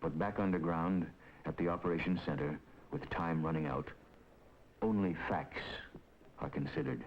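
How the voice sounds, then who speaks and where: A man with an American accent gives grave, deliberate scripted narration in the style of a 1950s documentary. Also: sits low, creaky, a little hiss or hum. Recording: dull 1950s film soundtrack, loud music behind.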